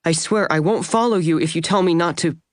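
A man voicing a tender, grieving female vampire who talks softly and pleads as she reassures someone. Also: on the high side, fairly quick, no room sound, clean studio sound, pitched above the performer's own voice, natural voice. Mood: earnest. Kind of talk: audiobook character voice.

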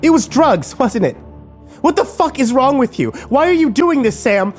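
A man voicing a furious, grieving young woman who shouts and swears with biting contempt. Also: high-pitched, very animated, brisk, soft background music, pitched above the performer's own voice.

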